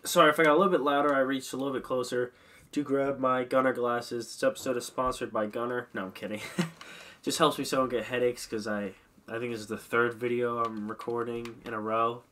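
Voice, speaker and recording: A man's voice: mid-range voice, steady tone, fairly quick, pushed and bright, slight background noise. A young man slightly congested, rambling casually and self-mockingly while commentating a hockey management game at his desk mic. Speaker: gaming commentator.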